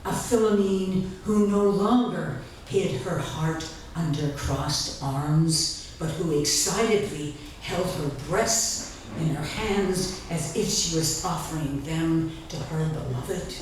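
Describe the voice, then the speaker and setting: Distant echoey recording, faint noise, woman, dramatic poetry reading, deliberate phrasing, long pauses. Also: low voice. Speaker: author reading from own memoir.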